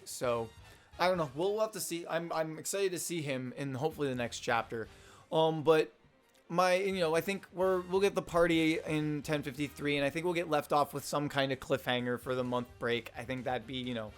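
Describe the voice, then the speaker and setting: A young man with a slightly hoarse, worn voice talks loosely in a mid-range pitch, trailing off and restarting his sentences as he thinks aloud. Faint music plays softly underneath. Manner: rambling, many fillers and restarts. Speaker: manga review vlogger. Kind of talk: casual unscripted commentary.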